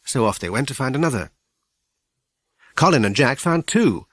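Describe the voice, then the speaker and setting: Male narrator for children's fiction: expressive, varied pitch, dry and close. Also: moderate pace, no room sound. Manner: expressive storytelling. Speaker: audiobook narrator. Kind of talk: scripted children's story narration.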